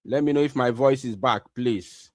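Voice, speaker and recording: A man's voice: mid-range voice, normal speed, no echo. A man hosts a live online broadcast in a mid-range voice. He talks urgently and repeats himself to make his point. The sound is close and dry, with no room around it. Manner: repeats phrases, urgent and insistent.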